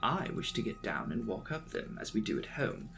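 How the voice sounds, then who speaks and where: A man reads a classic novel aloud in dramatic style. His mid-pitched voice swoops expressively and often drops into a gravelly creak, with soft music underneath. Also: huge pitch swings, moderate pace. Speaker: audiobook narrator.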